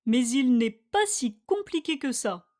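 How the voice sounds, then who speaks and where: A woman reading a scripted language-lesson dialogue with careful, deliberate phrasing, for listeners to repeat. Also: high voice, big pitch swings, darkish tone. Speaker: language teacher.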